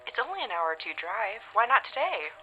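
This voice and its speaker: A woman playing an affectionate, gently teasing wife in an audio drama, with a light, encouraging manner. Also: mid-range voice, very animated, brisk, muffled recording, faint music behind, natural voice. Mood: affectionate, upbeat. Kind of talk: modern audio drama acting.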